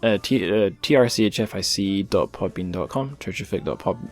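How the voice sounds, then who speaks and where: A man's voice: lowish voice, moderate pace, a little mellow, soft background music. A man hosting a podcast, talking casually and informally with a wry, self-deprecating touch. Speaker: podcast host. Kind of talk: casual podcast talk with plugs.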